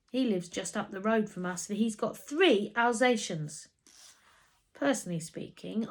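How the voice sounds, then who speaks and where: A woman reading a children's story aloud as an expressive narrator. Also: mid-range voice.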